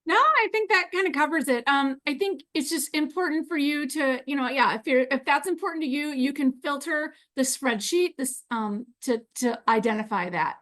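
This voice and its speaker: A woman's voice: high-pitched, normal speed, few pauses, a bit bright. A woman on a webinar panel adding to an answer, hesitant, with many fillers and restarts. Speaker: housing staff panelist.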